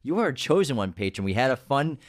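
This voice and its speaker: Lively man hosting a podcast quiz. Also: mid-range voice, quick pace, no echo, studio quality. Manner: enthusiastic, runs the quiz games. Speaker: podcast co-host. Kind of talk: casual podcast chat.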